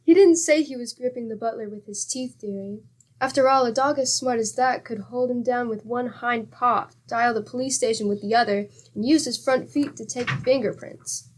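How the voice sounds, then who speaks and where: A woman's voice: fairly high pitch, normal speed, lightly acted. A woman playing a patient, dryly teasing middle-aged wife in an old-time radio comedy, wry and chiding.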